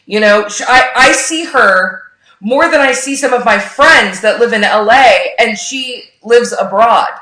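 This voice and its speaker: A woman's voice: a little high, fairly bright tone, roomy sound, rough recording. A woman hosting a live show in an enthusiastic, informal and emphatic way, gushing as she talks. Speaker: host.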